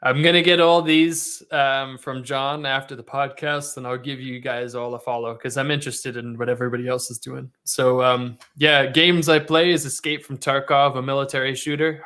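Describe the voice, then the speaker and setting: Bright-voiced Canadian man chatting casually. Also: medium pitch, level tone, moderate pace, clean tone. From born in Canada, grew up in Australia. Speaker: podcast guest and livestreamer. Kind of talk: casual chat.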